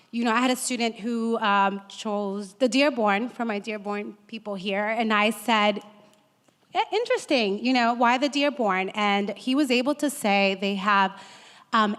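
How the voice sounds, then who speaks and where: A woman school counselor from the Boston area answering a panel question in an earnest, animated way, with a loud voice. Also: a little high. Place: conference hall. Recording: long reverb of a hall.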